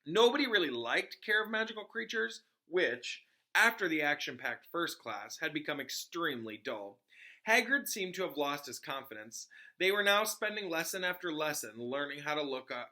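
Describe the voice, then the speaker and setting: A man's voice: on the high side, pushed and bright. A man who is a teacher, reading a children's novel aloud in an informal way with casual explanatory asides. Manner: informal, pauses to explain to listeners.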